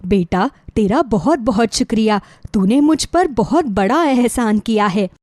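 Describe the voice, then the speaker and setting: A woman voicing a hungry, humble old woman who pleads and gives blessings in an animated children's story. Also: on the high side, a little slow, dry recording, studio quality. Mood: grateful. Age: older.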